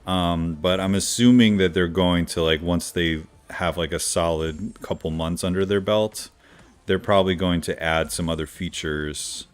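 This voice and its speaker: Deep, smooth-voiced male streamer. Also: normal speed. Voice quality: smooth radio-like voice. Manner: relaxed, chatty, greets viewers by name. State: slightly stuffy from allergies. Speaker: game streamer. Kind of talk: casual live-stream chat. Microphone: decent studio microphone.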